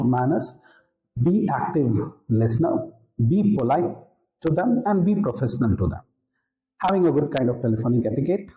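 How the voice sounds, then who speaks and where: A man's voice: medium pitch, vocal fry, warm tone. Indian man coaching in an echoey room. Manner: didactic, repeats key points for emphasis. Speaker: interview skills coach, presenter. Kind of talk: instructional talk to camera. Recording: roomy, echoing room.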